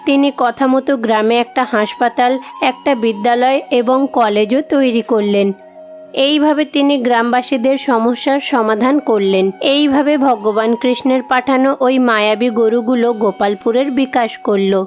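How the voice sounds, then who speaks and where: A woman's voice: on the high side, normal speed, soft background music. A woman reading scripted narration for a children's story as its narrator.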